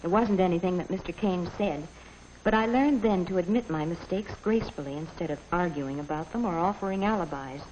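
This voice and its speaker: An actress playing a polite, earnest young American office worker, narrating a 1950s training film in a measured, proper way. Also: female voice, fairly low pitch, a little mellow, slight background noise, lightly acted. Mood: reflective, earnest. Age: young adult. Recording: dull 1950s film soundtrack, music behind.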